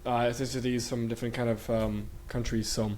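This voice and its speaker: A man's voice: medium pitch, little pitch movement, a little fast, darkish tone, slight background noise. A young man from Bulgaria who grew up in Sweden, talking as a guest in a casual interview, rambling with many fillers and restarts. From Bulgaria, raised in Sweden.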